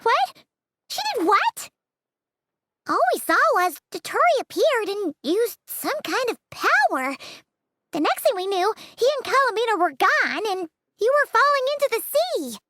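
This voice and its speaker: A woman voicing a small, excitable girl companion in a game, with squeaky, excited exclamations. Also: a little slow, dry recording, clean studio sound, heavily acted. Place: studio.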